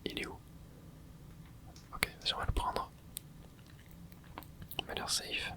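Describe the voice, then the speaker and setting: A man in his twenties, whispering softly as he comments on his gameplay for viewers. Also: takes it slowly. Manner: soft whispering, with excited asides to viewers. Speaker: gaming ASMR creator.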